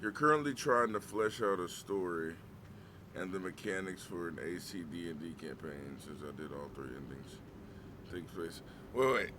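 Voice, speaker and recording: A man in his thirties, a streamer chatting casually, joking and swearing through lively banter. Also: deep voice, normal speed, background noise. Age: 30s. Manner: joking, profane, animated banter. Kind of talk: casual chat.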